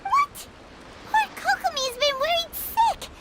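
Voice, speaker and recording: A woman's voice: very high voice, animated, slow pace, very noisy, exaggerated voice. A woman voicing a tiny, chatty and blunt floating girl companion who talks about herself in the third person, in a video game. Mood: indignant. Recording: game audio with loud music.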